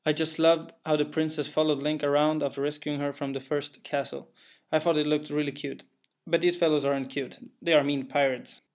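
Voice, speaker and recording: Swedish-accented young man, fairly high voice. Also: level tone, brisk. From Sweden. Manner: careful, plain, a little hesitant with fillers. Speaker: indie game developer. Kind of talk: narrated gameplay walkthrough.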